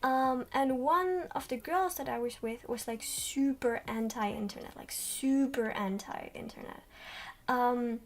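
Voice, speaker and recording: A young woman streamer chatting slowly in a soft, quiet voice, rambling with many ums. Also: fairly high pitch. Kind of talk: soft-spoken livestream chat. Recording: distant from mic.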